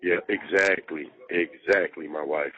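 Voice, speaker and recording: A man's voice: extremely low pitch, steady tone, a little slow, bright, forceful tone. A man talking casually over a jail phone, venting in slangy, swear-heavy speech and repeating himself for emphasis. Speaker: jail inmate on a phone call to partner. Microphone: telephone handset. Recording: muffled, band-limited recorded jail phone call.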